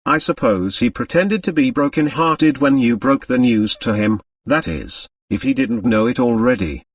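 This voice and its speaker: A gruff American businessman played by a male narrator in a mystery audiobook, blunt and bitter. He speaks with angry emphasis, slightly distant from the microphone. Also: medium pitch, expressive, normal speed, no echo, natural voice. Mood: savage. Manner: gruff, emphatic, plain-spoken.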